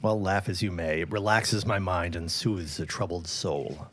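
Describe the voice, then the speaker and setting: An actor playing a gentle, troubled middle-aged father in a period audio drama, formal and mildly droll in manner. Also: deep voice, normal speed, no room sound. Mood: courteous.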